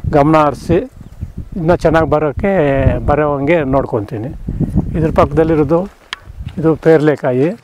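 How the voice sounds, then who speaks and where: An older man showing visitors around his dry farm orchard outdoors, talking slowly with many pauses and restarts. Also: a little high, background noise. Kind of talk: casual farm walk-through. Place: outdoors on a dry rural farm among trees.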